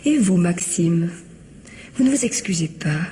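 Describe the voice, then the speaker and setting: Casting: icy widow in a radio mystery, mellow and cutting, mockingly polite, noisy recording. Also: female voice, fairly low pitch, lively intonation, a little slow. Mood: taunting, triumphant. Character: cold, vengeful widow taunting her greedy in-laws. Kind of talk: French radio mystery drama acting.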